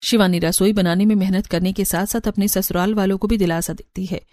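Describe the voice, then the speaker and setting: A woman's voice: mid-range voice, fairly even intonation, brisk, a little mellow, no room sound, clean studio sound. A woman narrating a scripted cartoon story.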